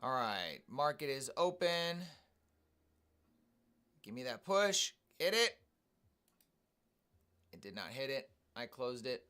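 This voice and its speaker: A man hosting a live day trading stream, calmly commenting on his trades and greeting viewers in the chat. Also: medium pitch, slow pace, long pauses, clean tone. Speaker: day trading livestream host.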